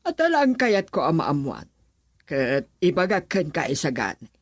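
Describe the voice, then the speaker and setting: An actress playing a stern, demanding middle-aged aunt in a radio drama. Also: female voice, low voice, sing-song, moderate pace, dry recording.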